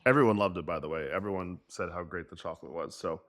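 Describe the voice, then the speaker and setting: A middle-aged man hosting a health podcast in relaxed, teasing casual chat. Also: low-pitched, fast talker. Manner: relaxed, teasing, easy laughs. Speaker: podcast host.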